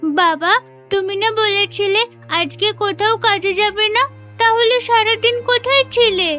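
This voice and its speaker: A woman's voice: extremely high pitch, normal speed, sharp tone, soft background music. A woman voicing a reproachful little village daughter in a moral-story cartoon, childlike and questioning.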